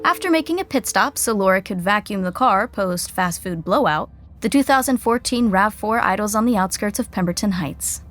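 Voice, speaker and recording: A woman's voice: mid-range voice, lively intonation, normal speed, fairly bright tone, faint music behind, natural voice. A woman playing a wry, mock-dramatic narrator of a podcast sitcom, reading scripted narration.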